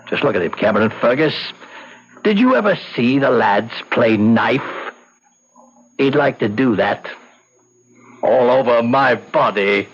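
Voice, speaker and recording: Casting: gloating, vicious little crook, swooping mocking delivery, radio horror play, dull lo-fi sound. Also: male voice, medium pitch, animated, slow, long pauses, breathy edge, full character voice. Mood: taunting. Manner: mocking, chuckling, needling. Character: coarse, vulgar, vicious small man, taunting and boastful criminal. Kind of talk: old-time radio drama acting. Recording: dull lo-fi radio recording, faint noise.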